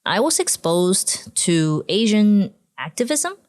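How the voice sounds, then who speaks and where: A woman's voice: medium pitch, very animated, slow pace, darkish tone, no echo. A woman from Taiwan, a podcast guest, chatting casually about her student years and often dropping "like" into her sentences. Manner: chatty, frequent "like" fillers, laughs easily.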